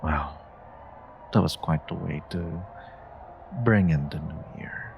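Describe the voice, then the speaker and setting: A man playing a flirty, charming stranger in an intimate romantic audio roleplay, with teasing, drawn-out, murmured lines. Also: very deep voice, vocal fry, muffled recording, slight background noise, exaggerated voice. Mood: pleased, teasing.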